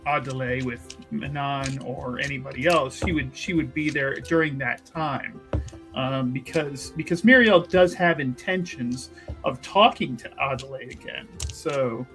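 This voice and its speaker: A man's voice: medium pitch, measured pace, fairly bright tone, faint music behind. A man chatting casually as a player in a tabletop roleplaying game, talkative and jokey, improvising what a character does. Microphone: streaming microphone on a remote call.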